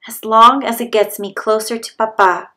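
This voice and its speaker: A woman's voice: on the high side, fairly even intonation, moderate pace, pushed and bright. A woman voicing a small, earnest, polite young male rabbit in a children's storybook. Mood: weary resolve. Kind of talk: children's storybook read-aloud.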